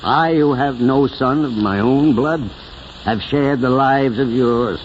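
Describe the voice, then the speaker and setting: An actor playing an elderly, sentimental small-town mayor with a solemn, oratorical manner, in 1940s radio drama style. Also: male voice, medium pitch, natural voice. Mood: solemn, stirring. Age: older. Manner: oratorical, solemn, drawn-out phrasing. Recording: muffled, hissy 1940s radio recording.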